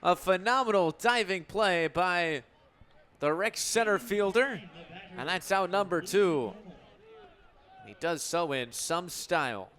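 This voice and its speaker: A man with a fairly high voice calls live baseball for the radio. He works at an unhurried pace in short, punchy phrases that swell on big plays. A light layer of ballpark noise sits behind him. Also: lively intonation, fairly bright tone, a faint crowd. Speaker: baseball radio play-by-play announcer. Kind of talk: live sports play-by-play commentary.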